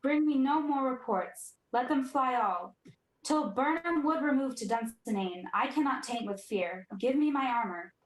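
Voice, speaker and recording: A young woman plays a defiant tyrant king in a Shakespeare radio drama. The voice is fairly high and commanding, declaiming verse in a very echoey room. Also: expressive, moderate pace. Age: young adult. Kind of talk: 1940s radio play Shakespeare acting.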